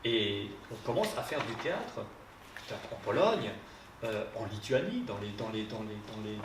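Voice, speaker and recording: A man's voice: on the low side, sing-song, a little slow, noisy background. Man speaking at a distance in a roomy hall. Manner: hesitant, many fillers and restarts. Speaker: translator. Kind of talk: panel discussion. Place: theatre hall.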